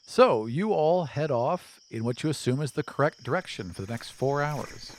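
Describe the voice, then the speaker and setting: A man's voice: a little high, expressive, normal speed. A man acting as game master, narrating a tabletop fantasy game in a conversational, descriptive way and speaking directly to his players.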